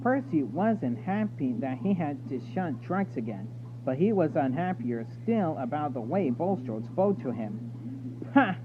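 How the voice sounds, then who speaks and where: A man narrates a children's storybook in a highish, very animated voice. The recording is thin and phone-like, with loud noise and hum behind him. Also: unhurried. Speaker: story reader.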